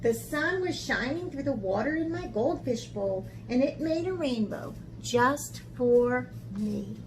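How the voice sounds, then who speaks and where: Lady with a highish voice doing a kids art class and storytime, really expressive and encouraging, noticeable noise behind her. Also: a little slow, low-quality recording. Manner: expressive, encouraging talk aimed at young children, with dramatic gasps. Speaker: art camp teacher. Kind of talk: children's art lesson and picture book read-aloud.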